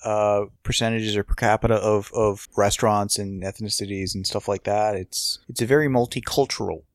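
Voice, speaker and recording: A man co-hosting a podcast, chatting casually about a TV show in a rambling, halting way and often repeating words as he goes. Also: lowish voice, steady tone, no room sound, studio quality. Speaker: podcast co-host. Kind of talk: casual TV review chat.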